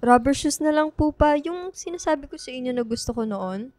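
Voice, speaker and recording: A woman with a Filipino accent playing a tomboyish, teasing teenage daughter in a radio drama, with a clear voice. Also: high voice, animated, fairly quick, darkish tone, dry recording. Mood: sweet. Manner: teasing, bickering. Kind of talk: radio drama acting, casual banter. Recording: music bed under the voice.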